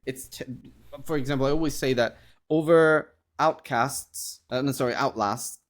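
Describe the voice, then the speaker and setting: A man hosting a casual interview podcast, speaking in a halting, rambling way and often repeating his words. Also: medium pitch.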